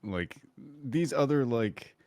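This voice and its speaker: A man's voice: medium pitch, lively intonation, slow, dark tone, no echo, clean studio sound. A man on a podcast panel discussing casually, hedging as he works out his opinion.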